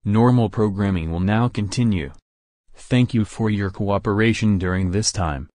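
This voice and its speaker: A man delivering a formal scripted emergency bulletin as the announcer, measured and impersonal. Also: lowish voice, darkish tone, no room sound, studio quality.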